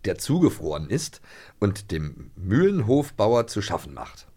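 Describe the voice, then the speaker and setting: A man's voice: on the low side, huge pitch swings, unhurried, dark tone, breathy edge. A man chatting casually and playfully as a podcast host. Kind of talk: casual chat.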